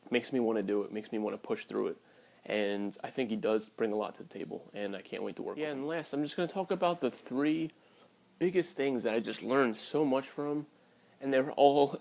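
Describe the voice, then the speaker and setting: A man's voice: medium pitch, a little mellow. A man in his early twenties talking casually and candidly to his viewers in a blunt, sweary vlog style. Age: early 20s. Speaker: vlogger.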